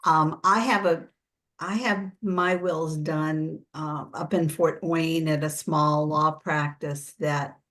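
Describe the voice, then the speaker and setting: An older American woman with a low-pitched voice leads an informal workshop. She explains in a conversational way, doubling back to correct herself as she goes. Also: steady tone, takes it slowly, a bit bright. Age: 60s or older. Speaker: preparedness coach.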